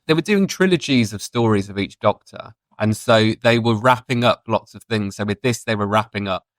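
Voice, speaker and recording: A man's voice: fairly low pitch, normal speed, dry recording. A man chatting casually and reflectively as a guest in a fan discussion on a podcast.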